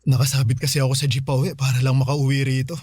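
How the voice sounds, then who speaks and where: A man's voice: medium pitch, level tone, fast, darkish tone, slightly rough, no echo, clean studio sound, natural voice. A man playing a patient, put-upon young boyfriend and son in a Filipino radio drama, earnest and hesitant. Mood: weary, apologetic. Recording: music behind the voice.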